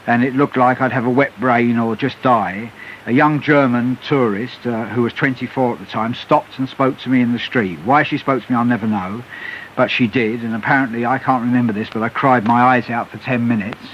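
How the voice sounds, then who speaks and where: A man's voice: medium pitch, fairly even intonation, brisk. Middle-aged man, earnest and emphatic interview guest, candid testimony, dull radio sound, faint hiss. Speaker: interview guest, author and recovered addict. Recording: muffled hissy shortwave-style radio broadcast.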